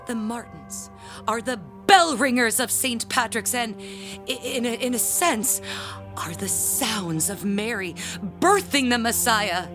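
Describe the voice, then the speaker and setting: A woman's voice: on the high side, expressive, music in the background. Pompous, melodramatic church lady. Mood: indignant, wounded pride. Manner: pompous, theatrical, halting, wordy. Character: proud, jealous, melodramatic parishioner, a church bell ringer. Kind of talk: audio drama acting.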